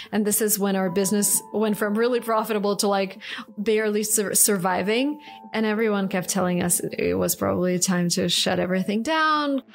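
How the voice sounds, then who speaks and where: A woman in her thirties from Russia, speaking English with a Russian accent as a podcast guest, animated and casual with many fillers, recorded at home on a desk mic. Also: mid-range voice. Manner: animated, many likes and restarts. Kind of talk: casual interview. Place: home study with bookshelves, indoors. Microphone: studio mic on desk, earbuds.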